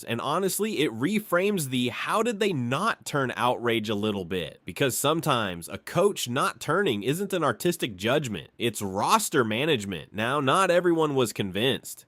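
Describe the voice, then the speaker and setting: A man hosting a reaction channel, delivering scripted video commentary in measured, analytical, polished phrasing. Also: on the high side, a bit bright, dry recording.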